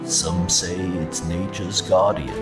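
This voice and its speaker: Very deep, slow trailer narrator. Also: male voice, steady tone, over music. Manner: slow, ominous. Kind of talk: dramatic trailer voice-over.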